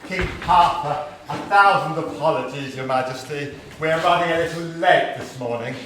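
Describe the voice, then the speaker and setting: A man's voice: fairly high pitch, normal speed, fairly bright tone, close to an everyday voice. A man playing a chatty, coy pantomime dame, a servant woman with familiar comic stage patter. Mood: flustered, deferential. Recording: very echoey, distant, noisy stage recording.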